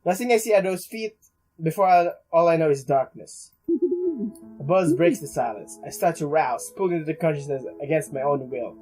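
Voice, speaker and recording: A man reading game narration aloud in a halting way, as a let's play host. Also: fairly high pitch, darkish tone, low-quality recording. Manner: halting, stumbles and repeats words while reading. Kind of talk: reading visual novel narration aloud with casual commentary. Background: music.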